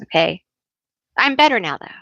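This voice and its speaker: A woman hosting a casual tarot live stream, chatty and teasing herself, laughing often and calling herself a loud yeller. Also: medium pitch, big pitch swings, moderate pace, creaky, no echo, lo-fi sound.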